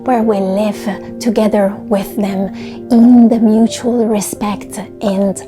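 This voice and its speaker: A woman hosting her channel, giving an earnest voice-over narration with soft, trailing ends to her phrases. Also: mid-range voice, level tone, slow. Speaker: channel host. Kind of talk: voice-over narration of a video essay. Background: music under the voice.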